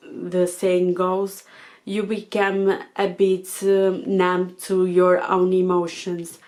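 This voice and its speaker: Slow, soft-spoken Portuguese woman. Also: lowish voice. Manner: thoughtful, hesitant, with frequent fillers. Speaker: channel host. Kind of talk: reflective monologue to camera.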